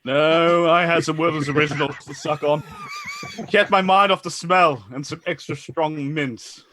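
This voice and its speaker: Man acting chatty villager, matter-of-fact, fairly high bright voice, radio comedy. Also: steady tone, measured pace. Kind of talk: comedy radio play read online.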